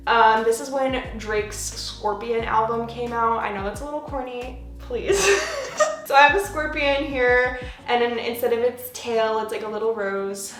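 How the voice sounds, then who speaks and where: Chatty young American woman, roomy room. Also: fairly high pitch. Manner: chatty, laughs often, many filler "like"s. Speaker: plant channel host. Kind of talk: casual Q&A chat while repotting plants. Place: at home indoors, working on plants.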